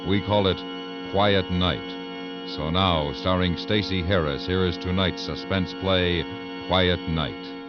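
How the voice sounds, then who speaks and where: Loud music surrounds a man's very deep voice on a dull vintage radio recording. He is a 1950s show announcer, measured and portentous, with a dramatic delivery. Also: fairly even intonation.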